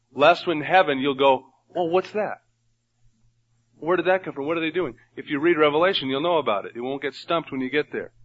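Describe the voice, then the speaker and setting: A man's voice: fairly high pitch, fairly bright tone, muffled recording. A man with a nasal voice leading a Bible study in a church room, praying and then teaching in an earnest, conversational way. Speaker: pastor. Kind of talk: Bible teaching sermon with prayer.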